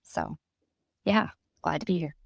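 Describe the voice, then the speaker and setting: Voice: medium pitch, measured pace, vocal fry, no room sound, clean studio sound. A taiko performer and educator introducing their group on a podcast, rambling and full of filler words. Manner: rambling with many fillers and restarts.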